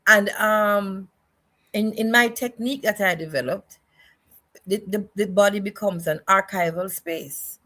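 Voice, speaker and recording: An older woman with a Jamaican accent, speaking as an interview guest in a reflective, deliberate way and repeating phrases for emphasis. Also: medium pitch, sharp tone. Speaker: guest, choreographer and dance educator.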